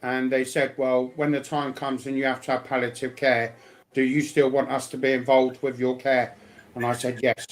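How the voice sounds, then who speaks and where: A man's voice: mid-range voice, little pitch movement, moderate pace, soft background music, rough recording. A man talking in a casual, rambling, colloquial way, often repeating himself. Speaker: speaker sharing personal life news.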